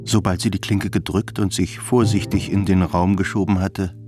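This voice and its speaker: A man's voice: low voice, steady tone, normal speed, natural voice. A man narrating a scripted radio play as a wry, literary storyteller. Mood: wry narration. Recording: music behind the voice.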